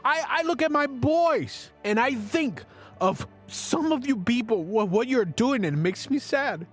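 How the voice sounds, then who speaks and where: A man's voice: very high-pitched, animated, unhurried, faint music behind. A middle-aged man hosting a show, speaking straight to listeners in an earnest, preachy, emphatic motivational monologue. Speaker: show host and father.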